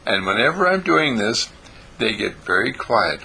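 A man's voice: medium pitch, very animated, a little slow, vocal fry, bright, forceful tone, a little hiss or hum, exaggerated voice, poor audio. A man voicing an earnest, chatty four-year-old boy who speaks in repetitive, childlike phrases.

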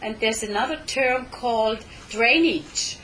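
Slow, halting woman interpreter. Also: on the high side, fairly bright tone, slight background noise, rough recording. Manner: halting, with frequent hesitations. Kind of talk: live interpreting between English and German.

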